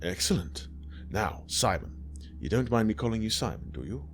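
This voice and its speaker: A man voicing an aging, haughty gentleman who asks fussy, formal questions in a condescending way. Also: on the low side, sing-song, moderate pace, soft background music, voice pushed up. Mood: prim.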